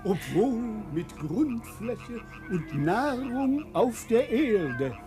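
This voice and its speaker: An actor playing a pompous, hypocritical seducer who woos in affected, swooning declamation in a radio play. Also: male voice, very high-pitched, very animated, full character voice. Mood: tearful rapture. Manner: affected, swooning, drawn-out grandiloquence. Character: pompous, hypocritical male seducer posing as poet. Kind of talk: German radio play, mock-romantic declamation. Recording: prominent music under the voice.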